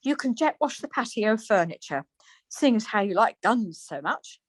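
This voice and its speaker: An actress playing a dry, sarcastic, teasing middle-aged wife in an amateur radio play. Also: female voice, medium pitch, big pitch swings, moderate pace, husky, no echo.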